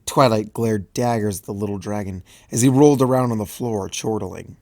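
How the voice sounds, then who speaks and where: A man reading a story aloud, narrating in an expressive, animated way. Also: on the low side, moderate pace, no room sound, studio quality. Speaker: fanfic reader.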